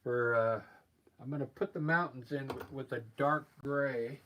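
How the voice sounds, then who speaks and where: A man with a scratchy voice from allergies, painting live and explaining his steps in a relaxed, thinking-aloud way. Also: mid-range voice, a little slow, highs cut off. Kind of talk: casual live painting demonstration.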